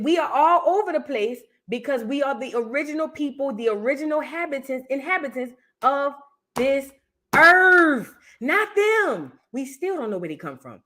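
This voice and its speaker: A woman hosts a casual reaction stream, talking loudly and with emphasis, repeating her punchlines and calling on viewers by name. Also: sits high. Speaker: livestream host reacting to history content.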